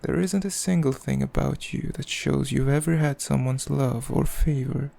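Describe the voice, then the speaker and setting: A man playing a cruel, possessive demon king in an ASMR roleplay, speaking in a haughty, mocking, measured way with a gravelly voice. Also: mid-range voice, fairly even intonation, mellow. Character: cruel, possessive male demon king, coldly amused, condescending. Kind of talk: ASMR roleplay, slow intimate villain monologue.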